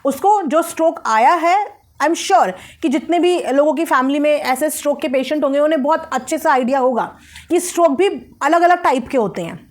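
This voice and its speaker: A woman's voice: sits high, animated, brisk. A woman gives opinionated video commentary, speaking emphatically, repeating phrases and mixing in English words. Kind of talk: opinionated commentary on social media family drama.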